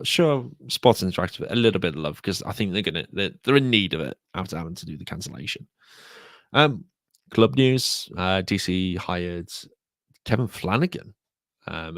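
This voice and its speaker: A British man hosting a solo podcast, talking casually and repeating himself, croaky from a sore throat. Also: low voice, dry recording. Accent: British English. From United Kingdom. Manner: rambling, repeats words. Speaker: podcast host.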